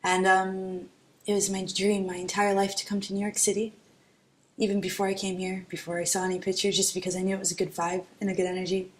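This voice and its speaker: A woman's voice: mid-range voice, little pitch movement, fast. A woman from Florida giving a documentary interview in a frank, wry way.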